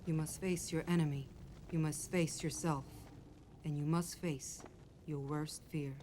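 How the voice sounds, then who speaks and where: A woman's voice: low voice, steady tone, measured pace, mellow. An actress playing an enigmatic woman who gives solemn, cryptic riddles in film dialogue.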